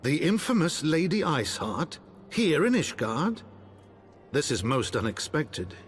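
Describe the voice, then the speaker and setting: An actor playing a composed, courtly elven count and father in a fantasy video game, with formal, measured wording. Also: a little high, very animated, a little hiss or hum. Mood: composed, surprised.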